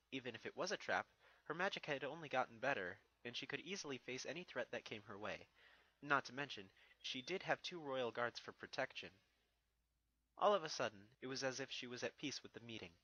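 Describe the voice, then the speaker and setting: A man's voice: mid-range voice, bright, forceful tone, lo-fi sound. A man giving a scripted narration of a fan fiction story.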